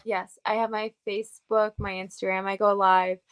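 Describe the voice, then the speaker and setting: A woman's voice: medium pitch, flat delivery, normal speed, smooth. A woman with a Long Island accent chatting casually as a show guest, in a playful, self-deprecating way. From Long Island, New York. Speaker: podcast guest, model and horror hostess. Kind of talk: casual chat.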